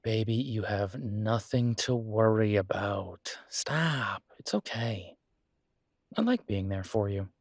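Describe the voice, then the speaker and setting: A man's voice: lowish voice, lively intonation, takes it slowly, dry recording, clean studio sound, heavily acted. A man playing a caring, easygoing boyfriend in a roleplay audio drama, speaking casually and reassuringly, with small hesitations. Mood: tender, reassuring. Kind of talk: roleplay audio drama, one-sided intimate conversation.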